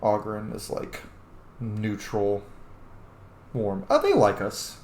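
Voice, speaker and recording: A man's voice: on the low side, wildly swooping pitch, takes it slowly, darkish tone. A man giving casual commentary over a game playthrough, chatty and thinking aloud. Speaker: gaming host. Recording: roomy echo, faint game noise.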